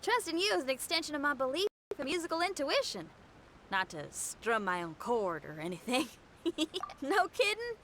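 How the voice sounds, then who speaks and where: A woman's voice: high-pitched, sing-song, moderate pace, bright, forceful tone, a little hiss or hum, heavily acted. A woman voicing a confident, free-spirited young female musician in a video game, folksy and easygoing in manner. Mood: breezy, confident. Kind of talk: video game character acting. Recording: music playing behind the voice.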